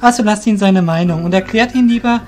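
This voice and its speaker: An earnest young apprentice in a fantasy radio play , acted by a man, speaks in a raised, youthful pitch. He is curious and politely cheeky, with frank questions. Plucked guitar music plays behind him. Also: a little fast, a little mellow, close to an everyday voice. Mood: calming.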